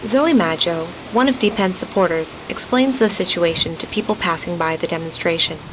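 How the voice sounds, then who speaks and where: A woman's voice: fairly low pitch, normal speed, background noise. Woman narrating a radio news report. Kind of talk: scripted radio documentary narration.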